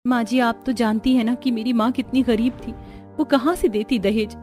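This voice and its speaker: A woman voicing a meek, dutiful, sorrowful young daughter-in-law in a cartoon, soft-spoken and earnest. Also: fairly high pitch, fairly even intonation, moderate pace, faint music behind, natural voice. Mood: pleading.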